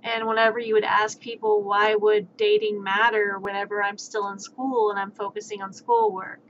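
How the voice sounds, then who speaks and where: A woman's voice: medium pitch, little pitch movement, normal speed, fairly bright tone. A woman live streamer chatting casually in a joking, playful, sweary way, who grew up with Spanish and sign language as first languages. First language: American Sign Language and Spanish. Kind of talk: casual chat with acted-out back-and-forth dialogue. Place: indoor livestream. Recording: dull lo-fi mic, faint background noise.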